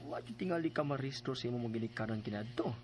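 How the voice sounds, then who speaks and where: An actor plays a blunt male registry clerk in a radio drama. He talks quickly in a mid-range voice. Noise is clearly audible behind him. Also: medium pitch, animated, close to an everyday voice. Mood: matter-of-fact.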